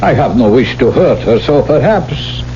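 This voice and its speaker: Suave older aristocrat cardsharp played by a male actor, mellow and swooping, condescending, theatrical, vintage radio drama. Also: mid-range voice, animated, moderate pace, natural voice. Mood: mock regretful. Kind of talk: 1970s radio drama acting. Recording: dull vintage radio recording, faint hum.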